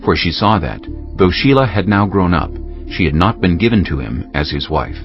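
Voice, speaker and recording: A man narrating a dramatized scripture text in a measured, solemn storytelling style. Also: low-pitched, lively intonation. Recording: dull lo-fi audio, faint music behind.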